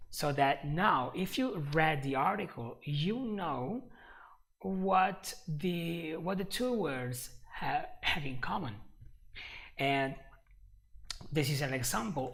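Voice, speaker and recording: A man's voice: on the high side, a bit bright. A man with an Italian accent teaching English on a live stream, patient and repeating himself as he explains.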